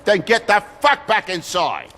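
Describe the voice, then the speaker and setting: A man's voice: sits high, huge pitch swings, measured pace, bright, forceful tone, exaggerated voice. A man voicing an irritable, foul-mouthed British toad in a video game, agitated and full of complaint. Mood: scolding. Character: irritable, foul-mouthed working-class toad landlord and father.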